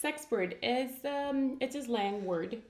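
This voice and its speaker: A woman's voice: a little high, slow, darkish tone, roomy sound. A woman from Colombia, a guest on a talk show, chatting candidly with many fillers and restarts and frequent giggles. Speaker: guest sex blogger. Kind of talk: casual talk show chat. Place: studio set at a table.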